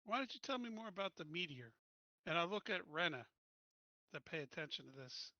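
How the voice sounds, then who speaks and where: A man's voice: fairly high pitch, big pitch swings, a little fast, pushed and bright, dry recording. A man playing in a tabletop roleplaying game, asking follow-up questions in a casual way. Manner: inquisitive, asks follow-up questions. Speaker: player. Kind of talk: casual in-character tabletop play. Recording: muffled lo-fi audio, dry.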